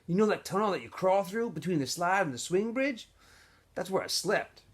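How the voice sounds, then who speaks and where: A man voicing a wistful, guarded homeless boy in a read-aloud novel, speaking plainly and earnestly with some halting moments. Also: high-pitched, expressive, slightly rough, pitched above the performer's own voice. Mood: matter-of-fact. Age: child.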